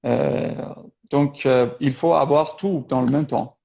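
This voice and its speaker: A man's voice: mid-range voice, slow pace, gravelly, highs cut off. A man from Iran whose first language is Persian, interviewing a guest in casual chat over a video call and speaking hesitantly with many fillers. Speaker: interviewer, French teacher on YouTube.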